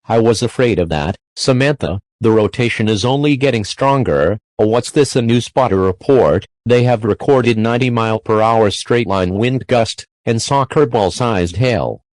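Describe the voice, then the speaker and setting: A man's voice: medium pitch, normal speed, few pauses, darkish tone, dry recording, lightly acted. A man playing a worried TV meteorologist, earnest and urgent in scripted live storm coverage. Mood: alarmed, urgent.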